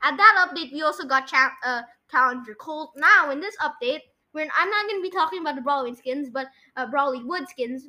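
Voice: high-pitched, bright, forceful tone. A young podcast host, sounding like a child or young teen, running a casual quiz chat and bossily correcting a co-host.

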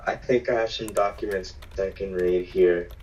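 A teenage boy with a raspy, hoarse voice from a sore throat, talking hesitantly as a podcast guest. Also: low-pitched, slight background noise, rough recording. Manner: halting, flat, hesitant reading.

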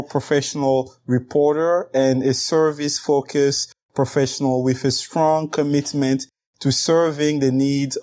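A man's voice: mid-range voice, dark tone. A man hosting an interview show, upbeat as he reads out a guest's introduction and asks questions. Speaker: host.

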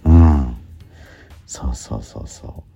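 A man's voice: very deep voice, gravelly, dark tone. A man hosting a radio show, chatting casually and searching for his words as he goes. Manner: halting, searching for words, repeating himself. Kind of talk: casual promotional chat about a stage play.

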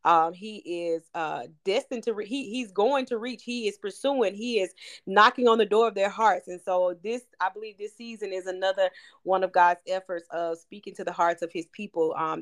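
A woman's voice: mid-range voice, fairly bright tone. Effusive churchy woman podcast host. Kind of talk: casual podcast chat.

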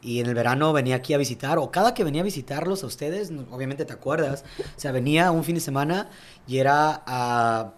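A young man, a tennis coach and guest, telling his story in a casual podcast chat and often asking "¿sabes?". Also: medium pitch. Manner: reflective, fast storytelling with frequent "¿sabes?".

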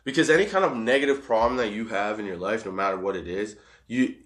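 A high man rambling casually and profanely about getting past setbacks, laughing often. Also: fast, a bit bright, roomy sound. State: high on cannabis.

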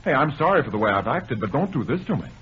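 A man's voice: mid-range voice, very animated, quick pace, a bit bright, slightly rough, full character voice. A man playing a flustered, stammering bluffer with mock-genteel manners in an old radio comedy. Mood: desperate pleading. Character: flustered suitor bluffing his way out, posing as chauffeur. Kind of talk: 1930s radio comedy acting. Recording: muffled, hissy old radio broadcast.

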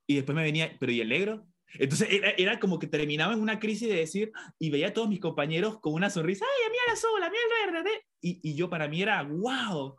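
A man's voice: fairly high pitch, fairly bright tone. A young man from Venezuela with a mixed Venezuelan, Colombian and Argentine Spanish accent, telling his life story reflectively as an interview guest. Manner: tells anecdotes, acts out childhood voices.

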